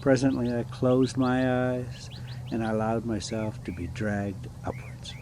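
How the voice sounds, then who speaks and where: A man reading a classic adventure novel aloud as an amateur storyteller, in a halting, phrase-by-phrase way. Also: mid-range voice. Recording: steady background noise.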